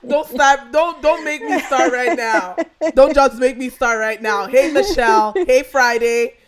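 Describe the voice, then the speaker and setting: A woman from Nigeria hosting a live online talk as a finance coach, animated and emphatic, cheering on her guest. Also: high voice, unhurried, a loud crowd. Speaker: host, success and finance coach.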